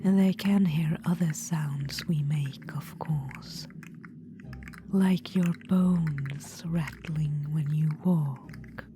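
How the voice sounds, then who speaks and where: A woman's voice: low voice, fairly even intonation, drawn-out words, noisy background. A woman voicing a scheming undead witch-queen in a whispered audio roleplay, confiding and fond. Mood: coaxing. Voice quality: soft whisper. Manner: confiding, fond, slyly amused. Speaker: ASMR roleplay performer. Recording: music underneath. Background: background music.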